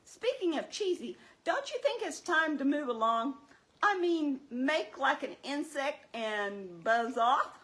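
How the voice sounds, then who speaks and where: A woman's voice: high-pitched, animated, takes it slowly, fairly bright tone, exaggerated voice. A woman playing a sensible female puppet in a scripted church puppet show, explaining things with wry, chiding teasing.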